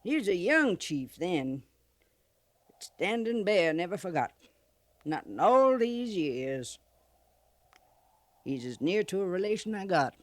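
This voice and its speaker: A woman's voice: on the low side, big pitch swings, takes it slowly, long pauses. An actress playing a canny, sardonic old frontier trader woman with a rustic American accent, folksy and blunt, in a 1950s radio western. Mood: somber. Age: older. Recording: noisy vintage 1950s radio recording.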